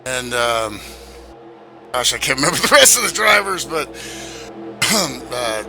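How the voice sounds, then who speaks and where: A man co-commentating a sim race he also drives in, relaxed and hesitant with fillers. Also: medium pitch, takes it slowly, faint music behind. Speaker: co-commentator and racer. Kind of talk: sim racing broadcast commentary.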